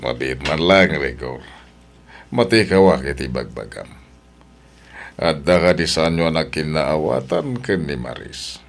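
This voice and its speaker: A man's voice: a bass voice, animated, unhurried, vocal fry, exaggerated voice. An actor with an Ilocano accent playing a stern, disapproving father in an audio drama.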